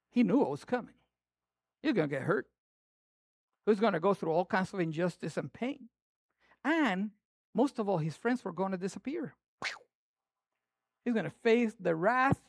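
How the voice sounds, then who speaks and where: A male pastor with a Latin American Spanish accent, preaching a sermon in a playful, animated way that swings between loud emphasis and hushed asides. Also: sits high, vocal fry, no echo.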